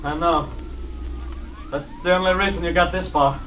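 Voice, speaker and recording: An actor playing a wary, worn young man on the run, halting and distrustful, in 1940s radio style. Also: on the high side, fairly even intonation, fairly bright tone, lightly acted. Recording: faintly noisy old radio recording.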